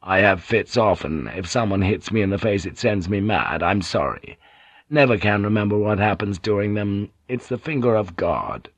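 A man's voice: lowish voice, animated, normal speed, dry recording. A man voicing a worn-out, guarded sailor who pilots ships, speaking plainly. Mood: wary. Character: weary, wary male ship's pilot. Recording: dull, dry lo-fi recording.

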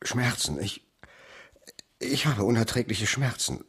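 Husky, agonised sick baron. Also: male voice, medium pitch, expressive, slow pace, fairly bright tone, full character voice. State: in pain, halting. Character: suffering baron, gravely ill and in agony. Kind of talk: German radio crime drama acting.

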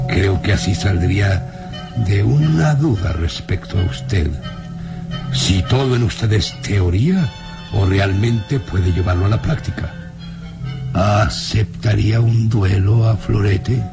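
Haughty old baron, slow and deep. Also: male voice, vocal fry, loud music behind the voice. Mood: challenging. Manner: haughty, deliberate. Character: proud, haughty, gaunt old baron, menacing challenger. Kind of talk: radio drama acting.

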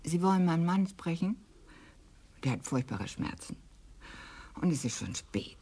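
An actress in a radio crime play, playing the resigned, quiet wife of a policeman who suffers from pain. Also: lowish voice, big pitch swings, unhurried, slightly rough, exaggerated voice. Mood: weary. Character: weary middle-aged wife of a sick policeman. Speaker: Frau Oppenheusen.

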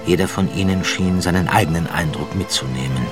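A man acting as a solemn narrator, reading a literary tale in measured radio drama style. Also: deep voice, lightly acted. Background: loud music.

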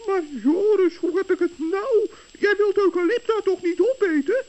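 An actor voicing a small, kind-hearted, anxious gnome in a children's radio play, with a squeaky, stammering delivery. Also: moderate pace, a little mellow. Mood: anxious, surprised. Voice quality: squeaky, creaky. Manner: stammering, worried. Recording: dull old radio recording.

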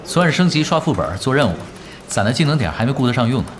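A man's voice: mid-range voice, sing-song, fast talker, crowd noise, lightly acted. A man acting a laid-back, cheeky young gamer. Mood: casual. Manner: casual, teasing, nonchalant. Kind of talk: Chinese audio drama acting.

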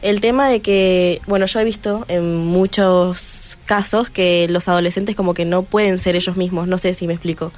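A woman's voice: on the low side, fairly even intonation, moderate pace, hardly any pauses, darkish tone. A teenage girl from Venezuela, now speaking with an Argentine accent, answering questions hesitantly on the radio. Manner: hesitant, lots of fillers. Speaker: student guest. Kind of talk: casual interview answers.

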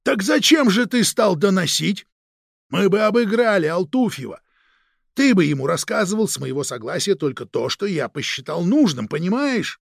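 A male narrator acts out a domineering police chief in a crime audiobook. The voice sits fairly high, with a gravelly creak and a very dry, close sound. He interrogates with suspicion and hard authority. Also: sing-song, normal speed, dry recording, heavily acted. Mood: pressing. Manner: commanding, interrogating, barking orders. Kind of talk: dramatic audiobook character voice.

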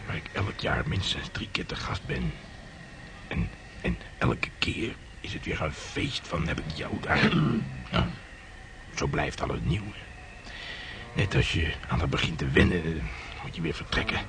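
An actor playing a gruff, lusty and gloomy old sea hand in a historical radio play, with earthy sailor talk. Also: male voice, very deep voice, full character voice. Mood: bawdy, boastful. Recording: noisy radio play recording.

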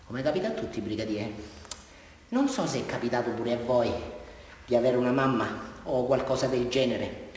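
An actor playing a deranged, naive murderer with a southern Italian accent, rambling and repeating himself childishly in a theatrical radio drama confession. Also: male voice, medium pitch, a little hiss or hum, close to an everyday voice. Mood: childlike, boastful, then puzzled, rambling. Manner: rambling, repetitive, childlike, addressing his listener. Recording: very echoey hall, far from mic.

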